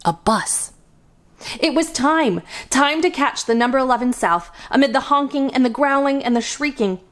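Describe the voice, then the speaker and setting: A woman with a fairly high voice reads a picture book aloud for young children. Her delivery is highly animated, with big melodic swings. She phrases deliberately and expressively, as a storytime host does.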